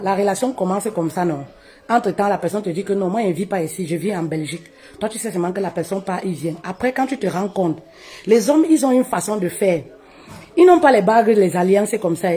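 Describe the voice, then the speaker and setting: A woman, telling her own story live, her voice jumping high for emphasis and dropping low and quiet. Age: 30s. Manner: expressive, swoops high for emphasis, drops low and quiet, laughs often. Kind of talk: animated personal storytelling. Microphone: phone on a live stream.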